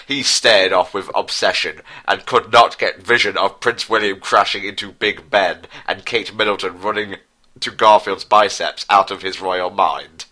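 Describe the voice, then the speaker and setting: A man giving a mock-dramatic story reading as narrator, pompous, deliberate and theatrical. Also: sits low, steady tone, sharp tone, low-quality recording. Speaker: narrator, co-host.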